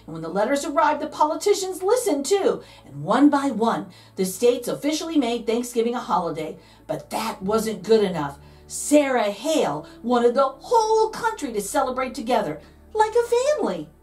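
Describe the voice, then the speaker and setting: A woman reads a children's picture book with playful, theatrical emphasis. Her voice sits fairly high and swings widely in pitch, stretching key words for drama. She sounds a little away from the microphone. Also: very animated, normal speed, a little mellow, distant mic, soft background music. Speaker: read-aloud host, storyteller. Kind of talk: expressive children's picture book read-aloud.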